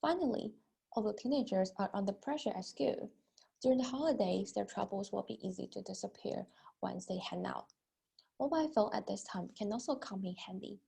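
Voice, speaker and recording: A woman's voice: mid-range voice, fairly quick, creaky, mellow, husky. A young woman delivering a rehearsed persuasive speech, stressing her openers and sometimes repeating a phrase as she goes. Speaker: student speaker.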